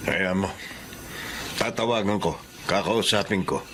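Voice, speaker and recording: Man as kind doctor husband, reassuring, lowish voice, noisy background. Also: measured pace. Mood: caring. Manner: gentle, reassuring.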